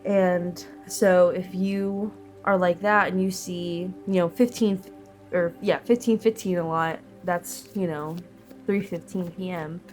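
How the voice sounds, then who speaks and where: A woman giving a tarot reading, her voice a little low and nasal from a cold. She speaks very slowly and thoughtfully, drifting through fillers and pauses, with soft music faintly underneath. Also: steady tone. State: sick, nasal and sniffly. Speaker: tarot reader. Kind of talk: slow, reflective collective tarot reading.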